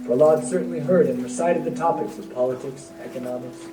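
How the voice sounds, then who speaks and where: A man's voice: fairly high pitch, a little fast. A man narrating a devotional puppet show from a script, as a storyteller. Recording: roomy, distant mic, music behind.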